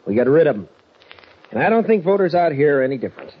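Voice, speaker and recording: An actor playing a sharp, brisk man from the East in an old radio drama. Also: on the high side, very animated, husky. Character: sharp Eastern man helping the hero. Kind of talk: 1940s radio acting. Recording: dull old radio recording with audible hiss.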